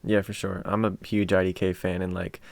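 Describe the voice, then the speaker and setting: A man's voice: low-pitched, little pitch movement, a little fast, vocal fry, dry recording. A man hosting a casual interview and asking questions in an upbeat way. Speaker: host.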